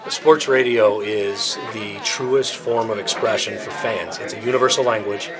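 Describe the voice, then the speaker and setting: A man's voice: on the low side, steady tone, a little slow, music in the background, low-quality recording. A man working as a sports radio program director, talking in a documentary interview. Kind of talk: interview talk. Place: indoor room, documentary interview with music behind.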